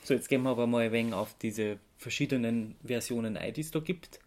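A podcast co-host with a medium-pitched voice. The delivery is informal and conversational, explaining things in a relaxed chat between co-hosts. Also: fast talker.

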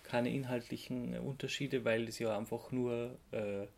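Male podcast host, Austrian accent, gravelly fry, informal explainer, halting delivery. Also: mid-range voice, level tone, a little mellow. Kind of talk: casual podcast chat, explaining.

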